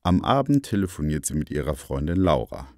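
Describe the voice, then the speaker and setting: Man, extremely low pitch, lively intonation, scripted lesson narration, explanatory storytelling for learners. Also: brisk, no room sound, clean studio sound.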